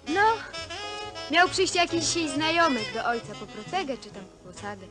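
A young housemaid in a 1970s film drama. She speaks in a higher voice, chatty and a little wistful, trying on posh airs, with music faintly behind her. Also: lively intonation, moderate pace. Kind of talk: naturalistic 1970s film acting.

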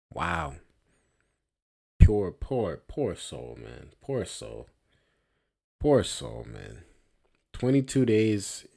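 A young man giving casual reaction commentary on a news clip, slow and drawn-out, often ending lines with "man". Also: on the low side, vocal fry, mellow. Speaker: video commentator. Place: small room indoors.

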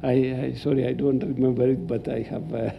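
Older Greek-accented male lecturer, gravelly. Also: medium pitch, level tone, fairly quick, slight background noise. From Greece. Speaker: professor lecturing. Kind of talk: academic slide lecture. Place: indoor lecture hall. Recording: dull, muffled lecture-hall recording.

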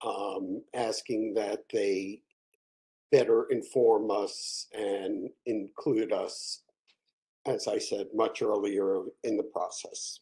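A man presenting a resolution in a video-call board meeting, measured and explanatory with frequent fillers. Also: lowish voice, fairly even intonation, dark tone, poor audio. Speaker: committee chair presenting a resolution.

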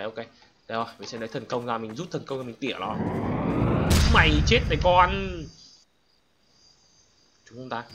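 High-pitched man doing gameplay commentary. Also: background noise. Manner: exclamatory, repeats words, slangy. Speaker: gaming YouTuber, host. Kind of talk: excited casual gameplay commentary.

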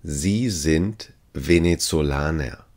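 A man's voice: very deep voice, slow, darkish tone. A man teaching language phrases, saying each one three times carefully and evenly. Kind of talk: slow repeated phrase drill.

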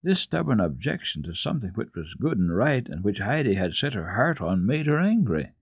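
Male children's radio narrator, dramatic and expressive, widely varied pitch, dry recording. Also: husky, dry recording. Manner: expressive, deliberate storytelling. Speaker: storyteller, radio program host.

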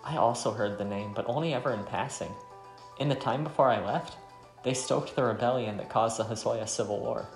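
A man's voice: medium pitch, a little fast, vocal fry, lightly acted. A man voicing a grieving, determined woman archer in a visual novel. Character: grieving, determined young woman archer from war-torn homeland. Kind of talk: visual novel dialogue read aloud. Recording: roomy sound, faint music.

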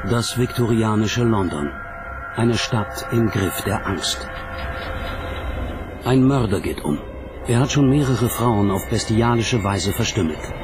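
A man narrates a documentary from a script. His voice sits fairly low and his delivery is measured and dramatic. Music plays clearly behind him. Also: lively intonation.

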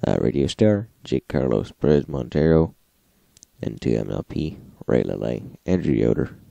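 A man with an extremely deep, heavily creaky voice reads log entries as a host. His tone is dark and mellow, his delivery plain and list-like, and the sound is close and dry. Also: big pitch swings, moderate pace, no echo, muffled recording. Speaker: host, radio log narrator. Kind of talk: scripted narration, reading logs.

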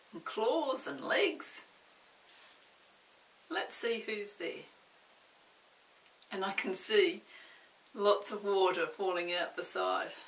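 A woman in her sixties reads a picture book aloud to children, unhurried and gentle, often slipping into a hushed whisper. The sound is roomy and a little distant from the mic. A faint hiss sits underneath. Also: medium pitch. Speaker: storytime host reading to children. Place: indoor room with a storybook set.

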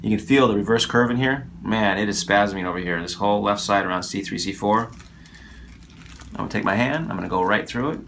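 Animated, joking male chiropractor. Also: on the low side, brisk, vocal fry, a little hiss or hum. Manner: jokey, animated, talks to viewers. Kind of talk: chatty patient session on camera. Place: small office with framed pictures.

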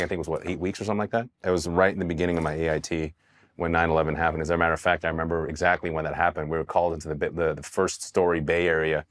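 Very deep-voiced man telling candid stories. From Taiwan, raised in California. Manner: candid, relaxed, casual with swearing and repeated restarts. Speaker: Army veteran interviewee. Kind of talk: documentary interview storytelling. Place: dark studio set, indoors. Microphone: hidden mic, seated on camera.

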